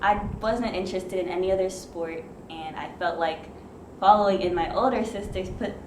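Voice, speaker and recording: A teenage girl from Long Island, New York, answering interview questions in a relaxed, plainspoken way. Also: on the low side, moderate pace. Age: teens. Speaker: guest, student athlete. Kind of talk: casual interview. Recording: echoey room with noise behind.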